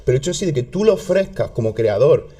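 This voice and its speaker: Southern spanish guy chatting as a guest, warm dark voice, lots of restarts. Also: medium pitch, fast talker. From southern Spain. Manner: animated, many restarts and repetitions. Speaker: health content creator guest. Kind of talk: casual interview chat.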